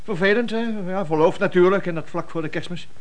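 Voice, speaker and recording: A man's voice: high-pitched, fast talker, lightly acted. A man playing a flustered middle-aged father in a radio play, stammering and hesitant yet bossy. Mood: awkward.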